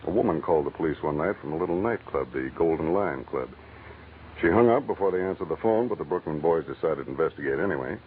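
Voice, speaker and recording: An actor in a 1940s radio drama playing a low-key, world-weary but kindly private investigator, laconic and casual. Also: male voice, expressive, fast talker. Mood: matter-of-fact, resigned. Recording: muffled, noisy old radio broadcast recording.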